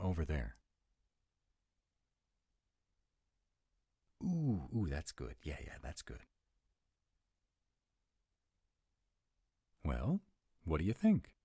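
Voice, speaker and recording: A man playing a gruff, grumbling tsundere were-dragon who is secretly soft, in an audio roleplay addressed to the listener. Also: deep voice, dry recording, studio quality, full character voice. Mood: softening, delighted. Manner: grumbling, exasperated, softening into boyish eagerness.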